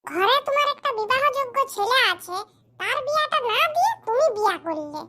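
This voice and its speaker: A performer voicing a squeaky, indignant young man in a comedy cartoon, bickering. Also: sing-song, a little fast, noisy background. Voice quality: squeaky, artificially high voice. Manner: scolding, bickering, rhetorical questions. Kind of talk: exaggerated comic cartoon dialogue in rural dialect.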